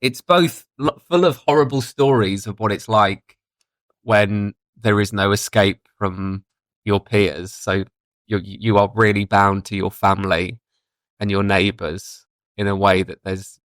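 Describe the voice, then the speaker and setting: A man's voice: fairly low pitch, fairly even intonation, unhurried, fairly bright tone, dry recording. A man with a British accent, talking as a podcast guest in a reflective, explanatory, conversational way. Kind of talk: casual discussion.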